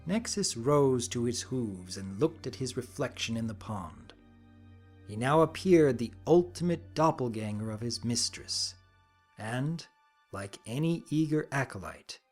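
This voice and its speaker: Slow, dramatic male storyteller. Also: medium pitch, darkish tone. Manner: deliberate, theatrical. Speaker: narrator.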